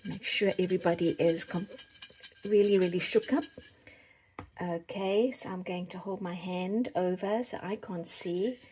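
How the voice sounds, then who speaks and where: A woman's voice: fairly low pitch, steady tone, a little slow, dark tone, slight background noise. A South African woman chatting casually to viewers as the host of a craft channel. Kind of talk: casual chat to viewers while doing a giveaway drawing.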